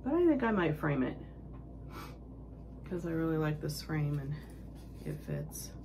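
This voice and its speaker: Woman, low pitch, sick and sniffly, casual vlog chat, halting and rambling, noisy home recording. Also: wildly swooping pitch, dark tone. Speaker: needlework vlog host. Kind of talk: casual show-and-tell chat.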